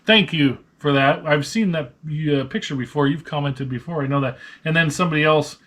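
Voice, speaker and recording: A man's voice: on the high side, a little fast, a bit bright. A man with an American Midwestern accent chats casually and jokingly, thinking aloud as he works. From Nebraska, United States. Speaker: vlog host, online reseller. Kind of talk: casual chat while sorting and pricing items. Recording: noisy room with clicks.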